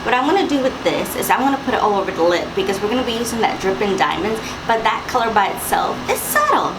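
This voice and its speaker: A young woman speaks in a raspy morning voice, chatty and instructive, walking viewers through a makeup tutorial. She talks at a middle pitch for a woman. A loud hiss and air-conditioner hum sit under her voice in a room at home. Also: sing-song, fast talker. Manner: chatty, instructive, with fillers and asides. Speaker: makeup vlogger. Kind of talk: makeup tutorial talk to camera.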